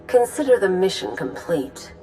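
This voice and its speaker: A mid-pitched female voice of a haughty, headstrong Sith agent played by an actress, with music behind. She is sarcastic and scornful, in sharp dramatic game style. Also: big pitch swings, normal speed, lightly acted, rough recording. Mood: cocky defiance. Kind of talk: dramatic video game voice acting.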